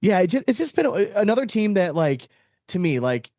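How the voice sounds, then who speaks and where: A man's voice: high voice, sing-song, brisk, a little mellow, no room sound, clean studio sound. A man co-hosting a podcast, talking casually and analytically about sport in a blunt, profane, slangy way. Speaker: podcast co-host.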